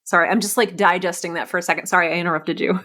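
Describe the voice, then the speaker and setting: A woman's voice: on the low side, steady tone, rapid-fire, bright, forceful tone. A woman hosting a casual podcast interview, effusive and reflective, often thinking aloud as she talks. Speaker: podcast host interviewing a writer.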